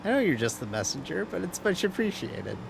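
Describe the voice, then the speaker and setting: A man's voice: on the high side, big pitch swings, gravelly, noisy background. A man streaming a sim race, chatting casually and rambling between driving calls, often restarting his sentences. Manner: rambling, self-interrupting, quick to laugh. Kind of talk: casual live-stream chat while racing.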